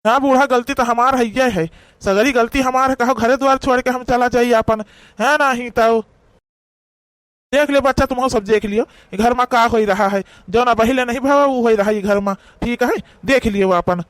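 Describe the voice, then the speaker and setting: A man plays an old village household elder in a cartoon, unusually high-pitched, with an Awadhi accent. He grumbles in a wounded, sarcastic way. The sound is very dry, with no room echo. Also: normal speed, a bit bright. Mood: bitter, aggrieved. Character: aggrieved old father-in-law, plaintive household elder. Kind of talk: cartoon comedy dialogue.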